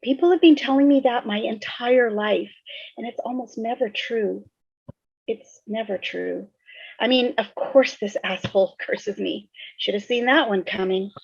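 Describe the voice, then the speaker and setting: A woman's voice: fairly high pitch, a little slow, creaky, darkish tone, slightly rough, no echo, heavily acted. A woman playing a sardonic, stubborn teenage girl, blunt and resigned to doom, in a fan table read over a dull-sounding video call. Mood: upset, bitter. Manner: blunt, sarcastic.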